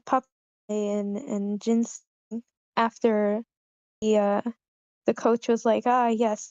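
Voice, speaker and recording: A woman talking in an informal group discussion, halting as she searches for her words. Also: mid-range voice, darkish tone, smooth, dry recording, dull audio. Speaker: participant giving script feedback.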